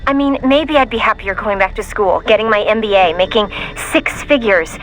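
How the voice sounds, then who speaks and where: An actress playing a young, loyal, idealistic mission support operator in a video game, hesitant yet heartfelt. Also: female voice, mid-range voice, moderate pace, a bit bright, natural voice, poor audio. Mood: pained, determined.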